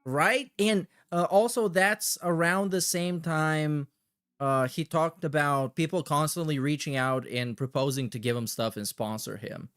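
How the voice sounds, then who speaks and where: A man's voice: fairly high pitch, fairly even intonation, unhurried, a bit bright, smooth, no room sound, clean studio sound. A man hosting a livestream with casual, slangy, sweary commentary and a sarcastic edge. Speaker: livestream host. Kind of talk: casual chat with shout-outs and commentary.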